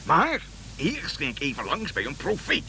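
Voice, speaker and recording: An actor playing a fervent, dreamy old king in a Dutch radio play, excitable, stammering and repeating himself. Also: a little high, normal speed, slight background noise, exaggerated voice, low-quality recording. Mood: earnest, musing. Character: old Balthasar, fervent dreamy wandering king following a star.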